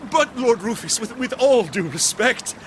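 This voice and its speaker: A man's voice: very high voice, expressive, normal speed, slight background noise. A man playing a blustering, rigid military commander with an imperious, barking delivery in a dramatic video game. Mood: shocked, flustered.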